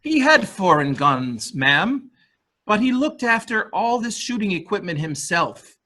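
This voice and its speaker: Man as a nervous servant, bright, lively high voice. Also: unhurried, full character voice. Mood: deferential. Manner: obsequious, hesitant. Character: deferential, nervous manservant in a murder mystery. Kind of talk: dramatic reading.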